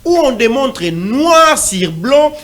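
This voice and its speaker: A man's voice: very high-pitched, sing-song, takes it slowly, fairly bright tone. A man from DR Congo speaking as a panelist in a televised debate, pushing rhetorical questions with growing intensity.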